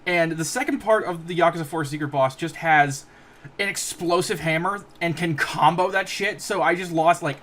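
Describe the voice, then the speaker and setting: A man co-hosting a casual podcast chat, his voice a little hoarse, joking and laughing often. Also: on the high side, moderate pace, a bit bright. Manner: laughs often, jokey asides. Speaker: podcast co-host.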